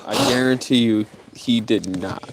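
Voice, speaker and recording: A man co-hosting a livestream, chiming into his partner's story with low-key, dry remarks in casual chat. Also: normal speed, low-quality recording. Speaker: co-host, partner.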